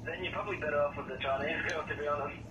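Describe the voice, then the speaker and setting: Man, slightly high voice, casual, hesitant, telephone speaker, noisy recording. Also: steady tone, very fast, pushed and bright, lo-fi sound. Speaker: brothel receptionist. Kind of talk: casual customer phone call. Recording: noisy echoey phone speaker, distant.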